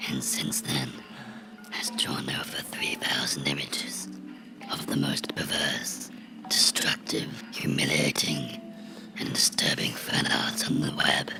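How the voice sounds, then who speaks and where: A man playing a vengeful cartoon gnome come to life, menacing and mocking in a breathy, gravelly whisper for a horror ASMR role-play. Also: rumbling low, fairly even intonation, over music, exaggerated voice, rough recording. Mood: mocking menace. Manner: slow, deliberate, sinisterly playful, taunting.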